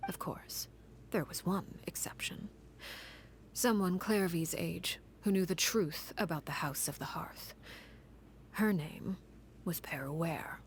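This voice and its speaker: An actress voicing a cold, composed woman in a game cutscene, recounting a grim past in a measured, grave half-whisper. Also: lowish voice. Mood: grave, calm. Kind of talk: game story cutscene dialogue.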